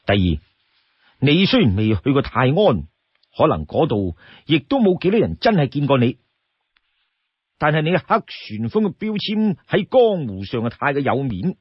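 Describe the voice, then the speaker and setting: Man playing husky, stern outlaw companion. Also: medium pitch, big pitch swings, moderate pace, no room sound. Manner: firm, admonishing, laying down rules. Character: stern, exasperated, shrewd younger male outlaw companion. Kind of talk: Cantonese storytelling character voice. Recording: dull, close dry studio sound.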